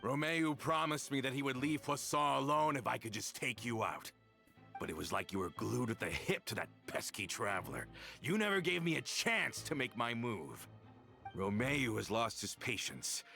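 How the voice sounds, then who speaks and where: A man's voice: mid-range voice, sing-song, moderate pace, gravelly, sharp tone, soft background music, exaggerated voice. An actor playing a bitter, vengeful older male villain who speaks in a seething, accusing way in a video game.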